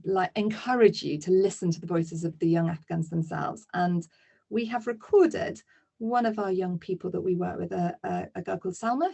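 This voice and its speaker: A woman's voice: on the low side, gravelly, dark tone. A woman presenting a webinar lesson, explaining steadily with occasional fillers.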